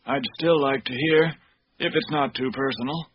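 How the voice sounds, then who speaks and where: An actor playing a courteous, patient investigator in a radio drama, speaking in a polite, measured, gently probing way. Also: male voice, mid-range voice, breathy edge, no echo, close to an everyday voice. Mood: gently persistent. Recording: muffled radio recording with clicks and hiss.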